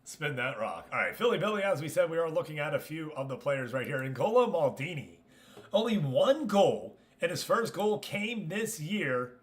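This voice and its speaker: A man's voice: on the high side, big pitch swings, moderate pace. A man hosting a gaming livestream, talking casually and chummily to his viewers as he comments. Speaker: livestream host.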